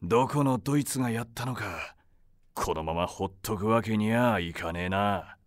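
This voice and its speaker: A man playing a brash, hot-headed young Edo thief-taker in a comic period radio drama, speaking rough slang with theatrical swagger. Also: lowish voice, moderate pace, no echo, studio quality, natural voice. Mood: tough, resolute.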